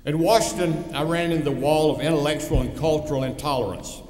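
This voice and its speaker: A man's voice: on the high side, quick pace, a little mellow. A man in his sixties with a pronounced East Texas accent gives a commencement speech, telling personal anecdotes in a folksy, wry storytelling manner. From East Texas, United States. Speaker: commencement speaker. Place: large hall, speaking at a ceremony. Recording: echoey hall with long reverb.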